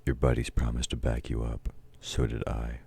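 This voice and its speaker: An actor playing a domineering, taunting villain in an intimate audio roleplay, gruff and commanding as he mocks a meek listener. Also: level tone, normal speed, exaggerated voice. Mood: gruff reassurance. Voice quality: very deep, dark, husky voice. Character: domineering, taunting male villain, grudging temporary ally of heroes. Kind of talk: intimate audio roleplay, scripted dramatic acting.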